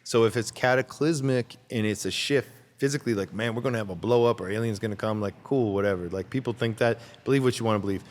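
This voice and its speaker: A man's voice: fairly low pitch, fast talker, few pauses, long reverb tail. A man talking as a guest in a casual interview, rambling and repeating words as he searches for his thoughts. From Canada.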